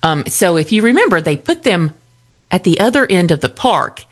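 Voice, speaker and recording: A woman's voice: lowish voice, moderate pace. A woman co-hosting a morning radio show, telling stories in casual banter and laughing often. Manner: animated storyteller, laughs often. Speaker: radio co-host.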